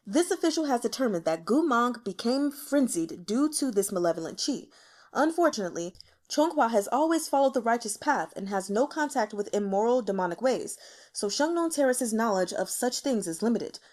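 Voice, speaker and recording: A woman's voice: a little high, lively intonation, normal speed, a little mellow. A woman playing a timid, fearful elder male court healer who speaks formally and hesitantly. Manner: nervous, hesitant, stammering, formal.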